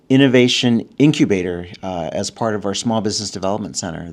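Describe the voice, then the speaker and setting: A man speaking as a college president, giving an enthusiastic prepared statement. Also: on the low side.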